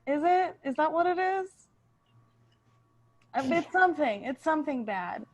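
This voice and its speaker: Girl playing lucifer, super high voice, jealous and pushy, casual improv comedy. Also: expressive, measured pace. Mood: scheming. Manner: casual, aggrieved, insistent. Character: jealous, combative Lucifer itching for a fight. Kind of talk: improvised comedy radio play.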